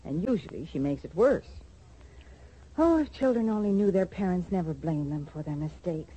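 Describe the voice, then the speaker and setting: An actress playing a gentle, worried middle-aged mother in a 1940s radio soap, speaking hesitantly and tenderly. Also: fairly low pitch, expressive, moderate pace, husky, lo-fi sound. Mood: earnest advising.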